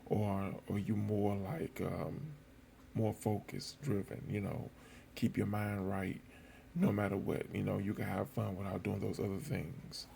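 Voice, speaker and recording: Gravelly, mellow-voiced man chatting casually. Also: on the low side, flat delivery, unhurried. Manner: informal, colloquial, conversational. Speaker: host of a boxing footage channel. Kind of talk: casual commentary talking to viewers. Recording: faint background noise.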